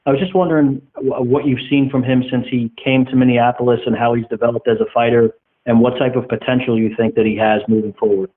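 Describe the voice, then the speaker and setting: Male press reporter, medium pitch, measured questioning, tinny telephone sound. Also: steady tone. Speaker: sports reporter asking questions. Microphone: phone on a conference call. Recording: narrowband phone line.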